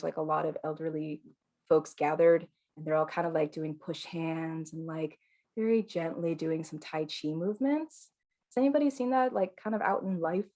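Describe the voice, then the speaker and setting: Brief: relaxed female-voiced qigong teacher, soothing tone, sits a bit low, casual and chatty. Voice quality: calm, soothing. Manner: chatty, relaxed, frequent fillers and laughs. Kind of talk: casual live online class.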